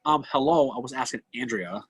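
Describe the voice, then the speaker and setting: A man's voice: medium pitch, animated, fairly quick, fairly bright tone, slightly rough, no echo. A man with a New England accent bantering casually as a livestream co-host, rambling and repeating himself. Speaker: podcast co-host.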